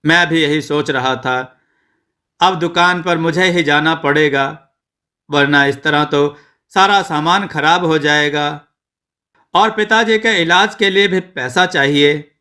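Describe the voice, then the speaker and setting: A man's voice: fairly high pitch, takes it slowly, sharp tone. A man reading as a dutiful, earnest young son. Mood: resolute, concerned. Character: dutiful educated young son taking over family shop.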